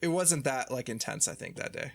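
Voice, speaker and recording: A man with a Chicago accent co-hosting a podcast in casual chat, halting and restarting often. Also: mid-range voice, expressive, vocal fry, no room sound, clean studio sound. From Chicago area. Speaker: podcast co-host.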